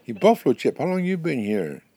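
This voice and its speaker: A man's voice: mid-range voice, big pitch swings, normal speed, warm tone, slight background noise, voice pushed up, close to an everyday voice. Man voicing a pushy, hollering little porcupine. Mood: curious, eager. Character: small determined porcupine out hunting buffalo, pushy and crafty. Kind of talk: oral animal folktale.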